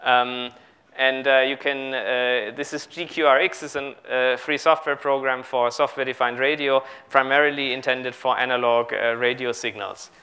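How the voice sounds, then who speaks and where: A man in his thirties speaking haltingly as he improvises a live technical demonstration as a conference speaker. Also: mid-range voice, steady tone, bright, forceful tone, clear, resonant tone. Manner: halting, improvising while working at a laptop. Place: large auditorium on stage with audience.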